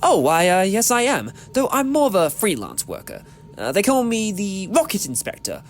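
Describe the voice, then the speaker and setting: An actor playing an eccentric, quick-witted male adventurer in a fan audio drama, in a jaunty theatrical manner. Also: sits high, huge pitch swings, faint music behind. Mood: jaunty, improvising.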